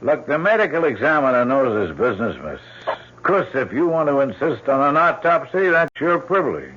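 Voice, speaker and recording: An actor playing a weary, matter-of-fact police lieutenant in a radio drama, blunt and skeptical, with hesitant pauses. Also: male voice, medium pitch, very animated, a bit bright, a little hiss or hum. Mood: dismissive, weary. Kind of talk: 1970s-style radio drama acting. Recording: dull lo-fi old radio broadcast.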